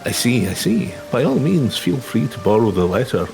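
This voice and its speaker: A man's voice: mid-range voice, sing-song, moderate pace, creaky, over music. A man voicing a courtly minstrel from a video game, gracious and flowery, eager to help. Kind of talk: video game dialogue read aloud in character.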